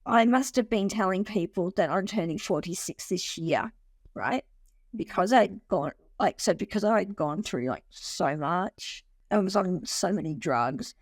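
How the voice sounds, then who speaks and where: A woman's voice: lowish voice, unhurried, dry recording. Chatty woman in her forties, dry sound. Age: 40s. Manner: animated storyteller who laughs often and restarts sentences. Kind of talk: casual conversational interview.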